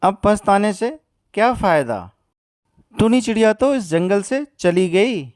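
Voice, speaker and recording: A man voicing a kind male crow in a cartoon story, speaking softly and earnestly. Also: high-pitched, no echo, clean studio sound, pitched above the performer's own voice. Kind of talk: Hindi cartoon story voice acting.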